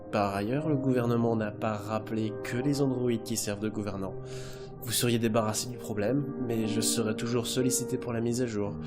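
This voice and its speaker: A man's voice: lowish voice, lightly acted. A man playing a polite, resigned android caretaker in an audio drama, speaking formally and patiently. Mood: resigned, matter-of-fact. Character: polite, resigned male android governess and student. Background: music.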